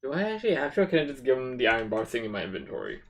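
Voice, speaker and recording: A man's voice: medium pitch, very animated, racing, vocal fry. A man streaming an online role-playing game with casual, muttering commentary and occasional swearing. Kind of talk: casual gameplay commentary, reading game dialogue aloud.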